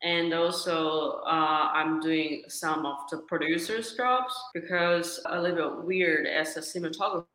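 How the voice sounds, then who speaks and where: A young woman from China, a cinematographer, answering interview questions in a halting, word-searching way and laughing easily. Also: sits low, fairly bright tone. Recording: echoey room.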